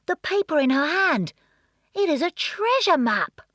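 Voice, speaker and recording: A woman plays an excitable, bossy male rabbit in a children's story. The delivery is urgent and exclamatory, with lively leaps in pitch. The sound is close and dry. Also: unhurried, dry recording, full character voice. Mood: excited.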